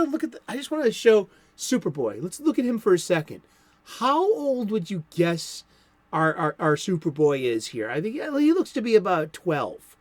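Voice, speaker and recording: A man's voice: fairly high pitch, no room sound. A man from Boston hosting a solo comics talk show, chatty and playful with rambling asides.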